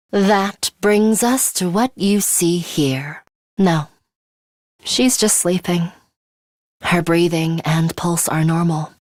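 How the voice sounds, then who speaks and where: A woman voicing a composed, battle-hardened young woman in an English video game, speaking in a deliberate, matter-of-fact way. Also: fairly low pitch, no room sound, studio quality. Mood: serious. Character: young woman, composed battle-hardened time-looper, coolly urgent ally.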